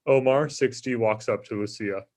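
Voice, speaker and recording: A man's voice: mid-range voice, normal speed, darkish tone. A man giving scripted narration, reading out the stage directions of a screenplay.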